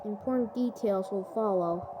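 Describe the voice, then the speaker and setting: A woman's voice: medium pitch, normal speed, very noisy. A synthetic-sounding woman reading a scripted emergency broadcast announcement. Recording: dull lo-fi broadcast audio.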